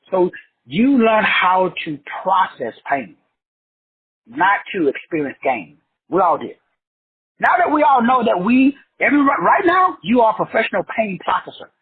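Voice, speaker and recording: Middle-aged man, motivational teacher: high, lively pitch, preachy and profane, muffled lo-fi sound. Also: husky. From South Texas. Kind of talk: motivational class lecture.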